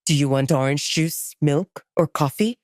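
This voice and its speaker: A woman voicing a gruff, commanding male alpha werewolf in an audio drama, curt and grumbling. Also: low voice, measured pace, a little mellow, no echo, clean studio sound, pitched below the performer's own voice. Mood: attentive.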